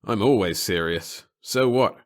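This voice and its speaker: A man's voice: fairly low pitch, a little slow, close to an everyday voice. A man voicing a cynical, bored newspaper reporter in an audiobook, with a curt, offhand, sardonic delivery. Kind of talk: audiobook character acting.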